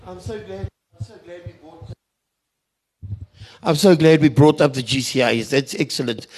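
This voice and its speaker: A man's voice: fairly high pitch, animated, moderate pace, darkish tone. A man speaking off the cuff at a public forum, emphatic and repeating phrases to drive his point home. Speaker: forum participant from a community radio station. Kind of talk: impromptu public discussion.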